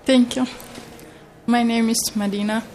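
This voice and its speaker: Young Ethiopian woman speaking halting English. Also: on the high side, steady tone, a little mellow, slight background noise. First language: Oromo. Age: young adult. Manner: halting, simple non-native English. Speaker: student witness.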